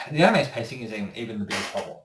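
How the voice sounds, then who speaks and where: A man's voice: lowish voice, big pitch swings, racing, fairly bright tone, a little hiss or hum. A man chatting casually as a voice actor being interviewed, rambling and opinionated. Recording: roomy echo, distant microphone.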